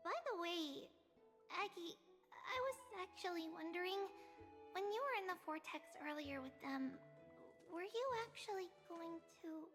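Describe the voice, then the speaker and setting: An actress voicing a gentle, childlike girl in a video game, soft and playful in her affectionate way of talking. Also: extremely high pitch, lively intonation, measured pace. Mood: curious, playful. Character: gentle, childlike girl, perceptive and centuries old. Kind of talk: scripted video game voice acting. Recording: long reverb, clear music behind.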